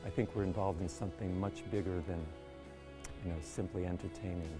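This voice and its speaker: A man's voice: low voice, fairly even intonation, brisk. A man speaking as a theatre director, guiding a group of actors through their work. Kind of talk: guiding talk to cast. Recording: music behind the voice.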